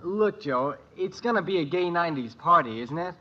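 A man's voice: a little high, lively intonation, moderate pace, pushed and bright. An actor playing an earnest, bossy teenage boy with a know-it-all manner in an old radio comedy. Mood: exasperated, bossy. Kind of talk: 1940s-50s radio sitcom acting. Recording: muffled, very noisy old radio recording.

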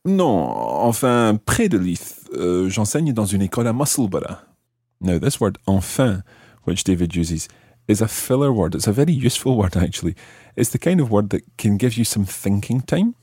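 A man hosting a language lesson, explaining grammar step by step with deliberate, teacherly phrasing and switching into French examples. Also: lowish voice, sing-song, mellow, breathy edge, dry recording, clean studio sound.

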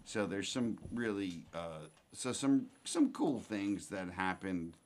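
A man in his forties hosting a casual chat, talking in halting, rambling phrases with many restarts. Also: deep voice, sing-song, a little mellow. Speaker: podcast host.

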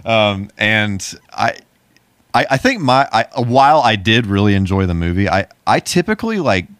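A man's voice: lowish voice, big pitch swings, moderate pace, a bit bright, clear, resonant tone, dry recording. A man chatting casually as a podcast host, in an informal, rambling way. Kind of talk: casual podcast chat about movies.

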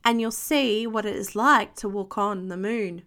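A woman's voice: medium pitch, unhurried, fairly bright tone. A woman voicing a patient gazelle playgroup teacher in a storybook, instructive and encouraging.

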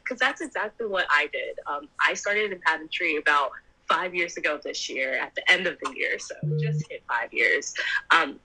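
A woman's voice: deep voice, lively intonation, normal speed, pushed and bright, husky, poor audio. A young woman in her twenties, an upbeat pageant titleholder chatting and laughing easily as an interview guest. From United States. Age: 20s. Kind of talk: casual interview.

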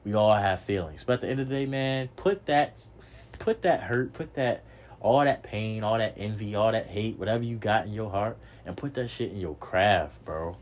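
Preachy young man, low voice. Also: animated, normal speed. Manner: preachy, repetitive, swears casually. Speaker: podcast host. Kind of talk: motivational monologue.

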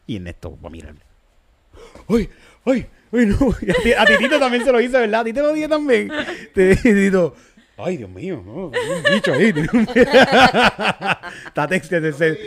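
Lively man, high pitch. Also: moderate pace. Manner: animated storyteller, laughs a lot, affirms with "sí, sí". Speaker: podcast co-host. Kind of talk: casual podcast chat.

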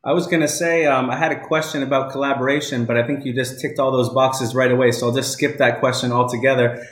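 A man's voice: mid-range voice, steady tone, fast, fairly bright tone. A man hosting a casual live online talk show from home, chatting with guests. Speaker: live chat show host. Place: indoors at home. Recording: roomy home echo.

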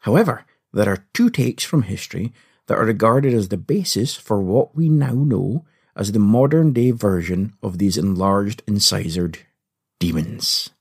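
Husky, theatrical man hosting a podcast. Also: fairly low pitch, expressive, a little slow, darkish tone. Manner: theatrical, punning, playful. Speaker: podcast host. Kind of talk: scripted comedic narration. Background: faint music.

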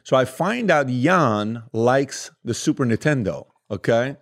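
A man's voice: medium pitch, lively intonation, a little slow. A man in his thirties, originally from Iran, with Armenian as his first language, telling casual stories as a podcast guest with laughter and quoted dialogue. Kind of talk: casual storytelling interview.

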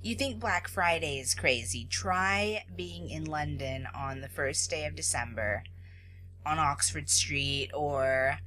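An American woman co-hosting a podcast in casual chat, chatty and quick to laugh. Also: low-pitched, faint music behind, rough recording. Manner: chatty, laughs often, excited retelling. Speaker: podcast co-host.

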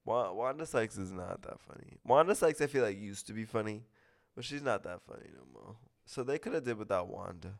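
A man's voice: lowish voice, animated, a little fast, vocal fry. A man hosting a podcast in a casual, gossipy chat, talking with a stuffy nose and gushing, opinionated comments. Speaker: podcast host.

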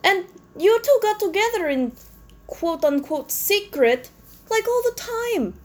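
A woman's voice: extremely high pitch, sing-song, unhurried, exaggerated voice. A woman voicing the country Slovenia as an exasperated young woman who keeps insisting she exists, in a fan comedy podcast. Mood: insistent. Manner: insistent, repeating herself, frustrated.

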